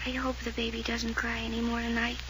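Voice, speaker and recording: Woman playing high-voiced, frightened, eerie child. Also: completely flat delivery, brisk, natural voice. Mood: eerie, insistent. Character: frightened, eerily insistent child in pajamas. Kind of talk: 1940s radio mystery acting. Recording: noisy old radio transcription.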